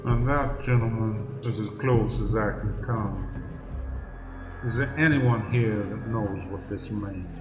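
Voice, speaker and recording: A man's voice: on the low side, unhurried, over music, close to an everyday voice. A man playing an earnest, authoritative police chief inspector, formal and polite, in old-time radio drama style. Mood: grave. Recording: echoey hall, muffled, crowd murmur.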